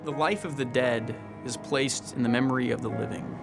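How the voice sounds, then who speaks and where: Male actor as grave TV profiler: medium pitch, fry in the voice, measured recitation with music underneath. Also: natural voice. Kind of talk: scripted voice-over reciting poetry and quotations.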